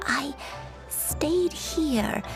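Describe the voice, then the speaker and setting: An actress playing a frail, gentle elderly grandmother in a video game, speaking haltingly and wistfully with a creaky voice. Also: high voice, animated, over music, exaggerated voice. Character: frail, gentle, self-doubting elderly grandmother with failing memory.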